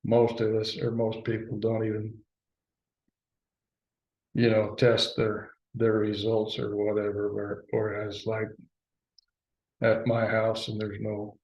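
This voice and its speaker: A man leading a town board meeting, talking haltingly with many restarts. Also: lowish voice, darkish tone. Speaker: presiding board trustee. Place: town hall meeting room. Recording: dull lo-fi meeting recording.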